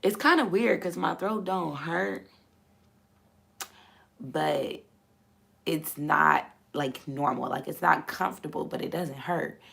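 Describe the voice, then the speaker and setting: Hoarse woman with a gravelly low voice. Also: slow, pauses often, fairly bright tone. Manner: repetitive, emphatic, preachy. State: voice gone, hoarse. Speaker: host. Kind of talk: casual faith talk livestream.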